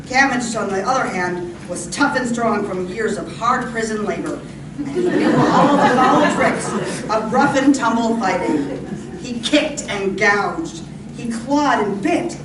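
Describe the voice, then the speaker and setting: A woman's voice: on the high side, animated, a little slow. A woman giving dramatic scripted narration for an old-time radio play, performed live on stage. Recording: roomy, distant, very noisy live stage recording.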